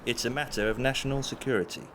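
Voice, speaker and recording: An actor playing a discreet, courteous Scotland Yard detective and bodyguard in an audio drama, polite and official. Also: male voice, medium pitch, fairly even intonation, fast talker, fairly bright tone, close to an everyday voice. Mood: guarded, courteous. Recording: clear background vehicle noise.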